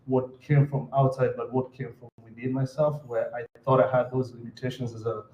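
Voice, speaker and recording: A man's voice: medium pitch, flat delivery, a little fast, a little mellow, slight background noise. A man from Kenya with a Kenyan accent, answering earnestly as a panelist and often repeating words as he builds a sentence. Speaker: panelist entrepreneur. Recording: roomy, slightly dull hall sound.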